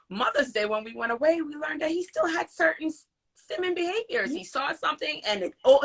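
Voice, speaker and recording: Woman, bright forceful voice, husky edge, earnest emphatic storytelling, live video call interview host. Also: on the high side. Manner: earnest, animated storytelling with emphatic quoted speech. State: recovering from voice loss. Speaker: interview host and parent advocate. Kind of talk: casual live-stream interview.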